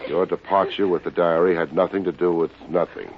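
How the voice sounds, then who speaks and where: An actor playing a wisecracking, unsentimental private investigator in an old radio detective drama. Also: male voice, low-pitched, brisk. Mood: blunt. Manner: wry, hard-boiled, slangy. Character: wisecracking, unsentimental male private investigator narrating his case. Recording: dull, noisy old radio recording.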